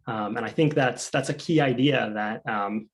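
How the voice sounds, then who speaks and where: A man's voice: medium pitch, normal speed. A man who is a PhD student, starting a conference presentation over a video call in a friendly, chatty way with many fillers.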